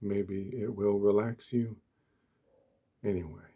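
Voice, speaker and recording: Slow, soft-spoken man with husky low voice. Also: steady tone, phone-quality sound. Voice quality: soft, hushed, breathy. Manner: gentle, deliberate, short phrases with pauses. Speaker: ASMR host. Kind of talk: soft-spoken ASMR meditation talk.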